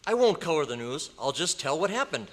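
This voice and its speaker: A man acts out an eager, questioning newspaperman in an old radio play. The voice is slightly high and very animated, earnest and dramatic, ringing in a reverberant hall. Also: a little fast. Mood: pleading, earnest. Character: earnest, inquisitive male newspaper reporter, astonished. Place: hotel convention meeting room. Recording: echoey hall, live stage performance.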